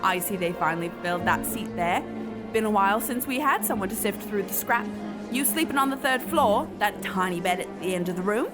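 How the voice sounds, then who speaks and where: A woman's voice: mid-range voice, animated, fairly quick, over music, natural voice. A woman acting a brusque, gossipy workhouse labourer in an audio drama.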